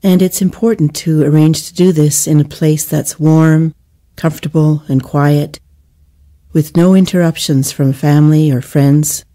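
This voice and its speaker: A woman leading a guided meditation in a soothing, measured manner with gentle pauses between phrases. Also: low-pitched, fairly even intonation.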